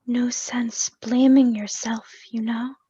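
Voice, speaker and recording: A woman's voice: a little high, flat delivery, takes it slowly, mellow, husky, dull audio, exaggerated voice. A woman acting as a somber consoler offering condolences in a radio play.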